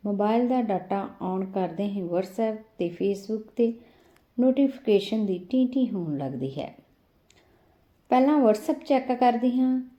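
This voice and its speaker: A woman's voice: mid-range voice, warm tone. A woman reading an emotional first-person short story as a scripted audiobook narration.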